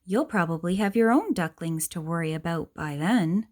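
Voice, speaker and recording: A woman reads a children's picture book aloud in a gentle, explanatory way, asking questions and adding asides for young listeners. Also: lowish voice, expressive, moderate pace.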